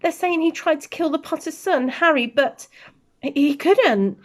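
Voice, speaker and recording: A woman's voice: sits high, measured pace, pitched above the performer's own voice. A woman voicing an anxious, stern older woman professor in a storybook, with exclaiming, emotional delivery. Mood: anxious, astonished. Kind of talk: storybook character reading.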